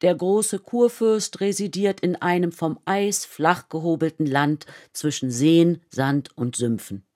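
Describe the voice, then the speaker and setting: A woman narrating a historical radio play in a measured, composed, literary manner. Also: lowish voice, warm tone, natural voice.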